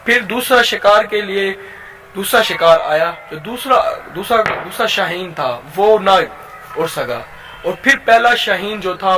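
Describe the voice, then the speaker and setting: A man with a Pakistani accent telling a moral tale informally, as a channel host, haltingly and with repeated phrases. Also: high voice, pushed and bright, slight background noise, rough recording. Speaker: YouTube storyteller and channel host.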